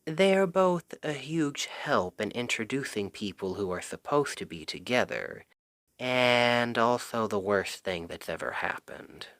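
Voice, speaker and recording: A man's voice: mid-range voice, lively intonation, a little slow, fairly bright tone, dry recording. A man playing an exasperated, secretly smitten young Cupid best friend in a conversational, emphatic ASMR roleplay. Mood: casual explaining. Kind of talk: ASMR roleplay audio drama, one-sided conversation.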